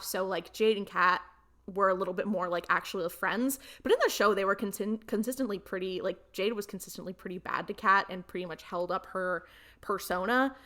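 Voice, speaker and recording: A young woman with a mid-range voice chats casually as a podcast co-host. She retells scenes in an animated, slangy way, dropping in quotes as she goes. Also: mid-range voice. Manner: animated storytelling, frequent quoting and slangy asides. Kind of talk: casual fan chat.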